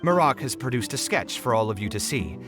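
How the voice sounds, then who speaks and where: An actor voicing a young male architect in a game, earnest and meticulous, with brisk businesslike planning talk. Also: medium pitch, animated, natural voice. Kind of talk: game voice acting. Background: game music.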